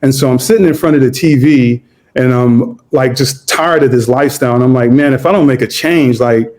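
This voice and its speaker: A man in his forties, a guest vegan chef, telling his story in a relaxed, easygoing interview chat and acting out his own past thoughts. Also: mid-range voice, brisk. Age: 40s. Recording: roomy echo.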